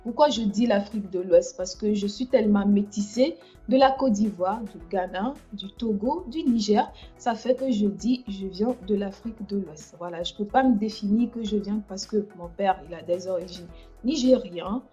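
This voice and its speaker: A woman's voice: medium pitch, normal speed, dark tone, dull audio, faint music behind. A woman hosting a casual livestream chat, speaking hesitantly with many fillers and restarts. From France. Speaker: livestream host.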